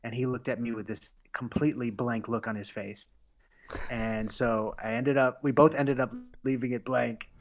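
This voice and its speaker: A man's voice: mid-range voice, fairly even intonation, moderate pace, rough recording. Chatty man in his forties, laughing storyteller. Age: 40s. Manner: laughing storyteller with many fillers and restarts. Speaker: podcast guest. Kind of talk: casual conversation.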